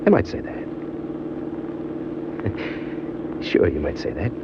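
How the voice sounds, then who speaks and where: Low-voiced, slangy radio drifter man. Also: huge pitch swings, takes it slowly, noisy background, heavily acted. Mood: cocky, angling. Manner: slangy, tough-guy talk. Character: small-time ex-convict drifter, male, cocky, smitten, scheming. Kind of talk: 1940s radio acting, first-person crime narration and dialogue. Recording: muffled old radio recording, music behind.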